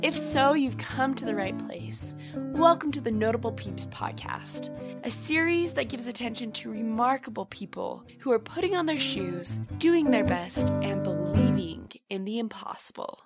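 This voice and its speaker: A woman's voice: a little high, animated, moderate pace, over loud music. A woman hosting a podcast, interviewing in an upbeat, bubbly, friendly way. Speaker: podcast host. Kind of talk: casual interview.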